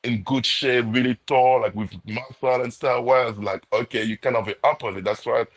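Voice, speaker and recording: A man's voice: medium pitch, steady tone, moderate pace. A man whose first language is not English, chatting casually with frequent laughs, searching for words. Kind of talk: casual live chat.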